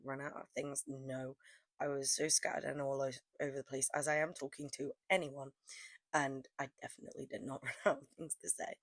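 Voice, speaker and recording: A woman's voice: deep voice, no echo. A young woman losing her voice to a cold, rambling casually with lots of fillers as she talks through her nail art. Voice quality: hoarse, croaky. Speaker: press-on nail artist. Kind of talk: casual chatty vlog narration.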